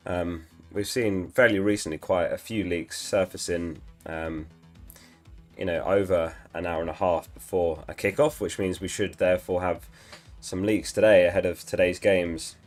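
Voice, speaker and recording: Male live-stream host, slightly lost voice, low register, casual and chatty. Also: darkish tone. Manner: reads out chat messages, chatty and upbeat. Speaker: livestream host.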